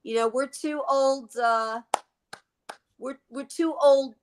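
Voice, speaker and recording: Sixties woman co-host from the Main Line, thoughtful and quick to laugh, casual live talk. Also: sits high, fairly even intonation, slight background noise. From Philadelphia Main Line, USA. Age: 60s. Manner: reflective, laughs often, repeats words while thinking.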